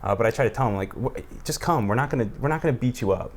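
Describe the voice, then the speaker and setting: A man's voice: medium pitch, expressive, rapid-fire, slight background noise. An American man hosting a podcast, talking in a casual, conversational style. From United States. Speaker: podcast host. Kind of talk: casual chat.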